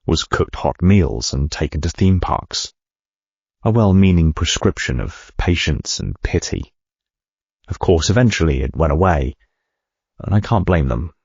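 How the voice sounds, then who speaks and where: Give a very deep, husky corporate boss reading his memoir like an audiobook. He speaks in a measured, reflective way. The sound is close, dry and a little dull. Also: a little mellow, no room sound, exaggerated voice. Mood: wry, resigned. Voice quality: gravelly, husky. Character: adult male corporate CEO reading his own autobiography. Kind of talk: scripted audiobook narration.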